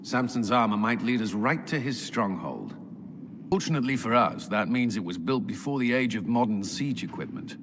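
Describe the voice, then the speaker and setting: An actor playing an earnest, dutiful male army commander in a fantasy video game, with measured, formal, clipped delivery. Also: medium pitch, animated, fairly bright tone, long reverb tail, a little hiss or hum. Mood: measured, serious. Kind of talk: dramatic video game voice acting.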